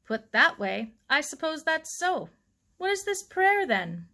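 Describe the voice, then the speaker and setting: A woman voicing a gruff, blustery, disapproving middle-aged uncle in a story. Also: sits high, sing-song, measured pace, sharp tone, pitched above the performer's own voice, full character voice. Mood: skeptical.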